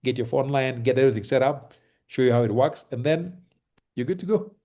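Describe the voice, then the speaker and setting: A Kenyan man with a Kenyan accent giving relaxed, reassuring advice on a video call, with lots of fillers and restarts. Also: medium pitch, brisk. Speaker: host advising on moving to Kenya. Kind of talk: casual advice chat on a call. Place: home in Kenya.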